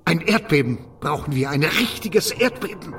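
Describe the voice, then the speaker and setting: A man acting a suspicious, secretive loner afraid of arrest in a radio drama, uneasy, halting and over-insistent. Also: on the high side, lively intonation, fairly bright tone, soft background music. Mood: defiant. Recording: distant from the microphone.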